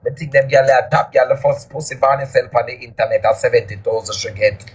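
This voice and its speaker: A man with a Jamaican accent, boasting loudly and often shouting in a casual live chat. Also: mid-range voice, little pitch movement, quick pace, slightly rough.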